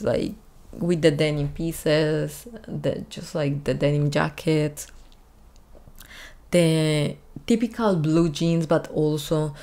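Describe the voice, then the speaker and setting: A woman's voice: deep voice, darkish tone. A young woman fashion designer chatting casually as a vlog host, full of "like" and "honestly" as she reacts to outfits. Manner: chatty, many fillers like "like" and "honestly".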